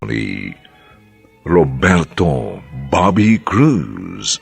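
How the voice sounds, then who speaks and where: A man announcing a radio drama sign-off in a formal, dramatic, measured way. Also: rumbling low, very animated, gravelly, music in the background.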